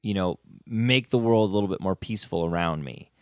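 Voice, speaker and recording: A man's voice: low-pitched, brisk, no room sound, clean studio sound. A man hosting a casual interview, supportive and conversational, with rambling questions. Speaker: podcast host interviewing.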